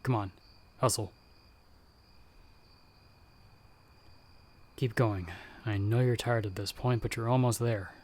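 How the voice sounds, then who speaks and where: A man playing a battle-hardened werewolf hunter in an ASMR audio roleplay, speaking directly to the listener in a measured, dryly understated way. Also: fairly low pitch, steady tone, a little mellow, lightly acted. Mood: urgent, encouraging. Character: battle-hardened male werewolf hunter with healing magic, calm mentor.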